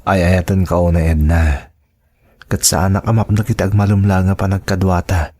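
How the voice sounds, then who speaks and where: A lovesick young suitor in a radio drama. His voice is on the low side, with an Ilocano accent, and he woos insistently. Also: flat delivery, normal speed, a little mellow. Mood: insistent longing.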